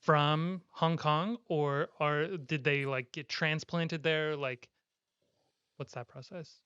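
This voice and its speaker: A young man hosts a podcast in an upbeat, playful way, his voice a touch high and smooth. The sound is dry and slightly dull, like a lo-fi recording. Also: steady tone, takes it slowly, bright, forceful tone. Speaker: podcast host. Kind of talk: casual interview chat.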